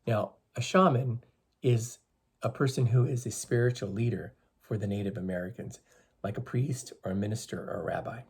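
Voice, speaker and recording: A reader with a slightly husky voice, reading a picture book aloud to young children and explaining things as they go. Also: mid-range voice, moderate pace, darkish tone. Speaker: storybook reader for schoolchildren.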